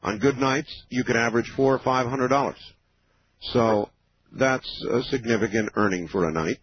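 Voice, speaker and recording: A man of fifty hosting a late-night call-in radio show, explaining in a measured way with many pauses. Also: mid-range voice, breathy edge. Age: 50s. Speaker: radio talk show host. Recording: dull lo-fi radio broadcast.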